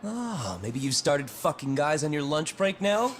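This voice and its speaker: A man's voice: a little high, sing-song, a little slow, a little hiss or hum. A man playing a cocky, hot-tempered teenage school bully in a video game, sneering and confrontational. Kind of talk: English video game voice acting.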